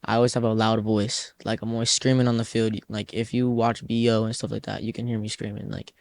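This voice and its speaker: A man's voice: on the low side, flat delivery, brisk, darkish tone. A teenage boy, a youth soccer player, answering interview questions in a loose, rambling way full of "like" fillers. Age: teens.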